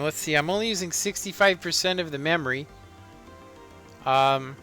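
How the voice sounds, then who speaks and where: A man in his thirties, a live streamer chatting casually and rambling with lots of fillers in a monotone way. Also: a little high. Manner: relaxed, rambling, many fillers. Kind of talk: casual live-stream chat while playing. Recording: faint background music.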